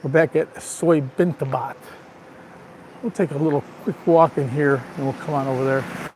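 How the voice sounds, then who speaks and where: Male vlogger, raspy from allergies, chatty tour-guide manner, greets viewers by name; phone mic outdoors, light traffic hiss. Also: fairly high pitch, steady tone, normal speed, darkish tone, rough recording. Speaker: livestream vlogger. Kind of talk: casual live walking tour. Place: outdoors on a town street. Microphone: handheld phone.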